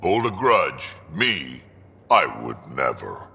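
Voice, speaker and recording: An actor playing a gruff old alien mercenary in a video game, with a gravelly rumble and a dry, blunt drawl. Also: male voice, sing-song, slow pace, bright, forceful tone, long reverb tail, full character voice. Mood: sardonic. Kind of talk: video game character acting.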